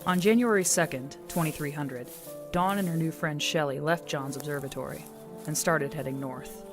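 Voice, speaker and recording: A man's voice: on the high side, normal speed, lightly acted. A man playing a radio host who narrates an audio drama as a storyteller. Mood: measured, storytelling. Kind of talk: scripted narration. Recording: music behind the voice.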